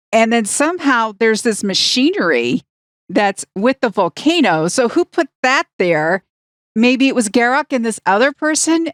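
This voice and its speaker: A woman's voice: on the high side, no room sound. Wry woman co-hosting a podcast, dry sound. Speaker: podcast co-host. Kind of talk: casual review discussion of a cartoon.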